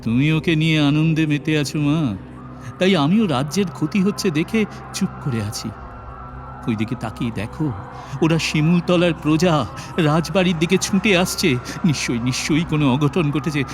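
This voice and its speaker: An indulgent royal father, acted by a man, speaks theatrically in a raised, fairly high voice. He sounds like an anxious storybook king, hesitating and repeating words over music. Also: fairly quick, lightly acted. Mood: troubled. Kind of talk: fairy-tale audio drama acting.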